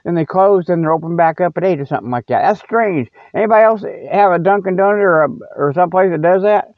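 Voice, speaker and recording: A man's voice: high-pitched, quick pace, no echo. A man hosting a comic report in a casual, rambling, folksy monologue, often repeating himself. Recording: dull, dry lo-fi audio.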